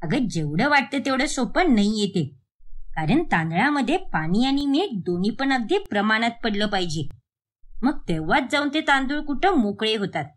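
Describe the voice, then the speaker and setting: Woman acting a nagging mother, high animated voice. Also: moderate pace. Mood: instructing. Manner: sharp, nagging, berating. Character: scolding, exasperated middle-aged Indian mother teaching her daughter. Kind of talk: animated moral story dialogue.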